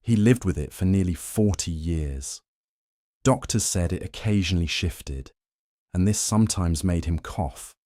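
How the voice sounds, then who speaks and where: A man reading a scripted history narration in a slow, measured, soothing way meant for falling asleep. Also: low voice, warm tone, no room sound, studio quality. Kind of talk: calm scripted bedtime narration.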